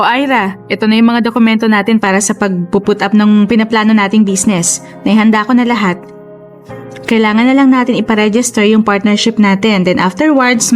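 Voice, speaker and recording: Confident Filipina big sister played by an actress, encouraging. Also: female voice, mid-range voice, natural voice. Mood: confident, businesslike. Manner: encouraging, conversational, English-peppered. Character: confident, supportive older-sister business partner, brisk and reassuring. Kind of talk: Filipino radio drama acting.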